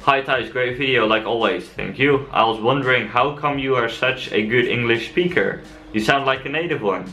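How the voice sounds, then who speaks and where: A young Dutch man with a Dutch accent, answering viewer questions in a relaxed, chatty vlog. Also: medium pitch, normal speed, soft background music, low-quality recording. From Netherlands. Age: young adult. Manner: relaxed and chatty, frequent fillers. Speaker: cycle-touring vlogger. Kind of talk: casual vlog Q&A.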